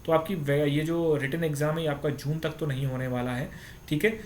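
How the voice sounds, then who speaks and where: Higher-pitched man, noisy recording. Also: fairly even intonation, a little fast, a little mellow. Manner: conversational, repeats tag words to check understanding. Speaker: YouTube exam-update presenter. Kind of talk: informal explainer addressed to students.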